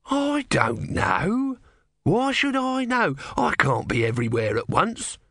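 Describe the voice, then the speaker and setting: Man voicing a grumpy, sardonic little tortoise god, animated. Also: mid-range voice, measured pace, vocal fry, no echo, clean studio sound, voice pushed up, exaggerated voice. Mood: irritable. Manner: evasive, sardonic, grumbling. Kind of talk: dramatised storybook reading.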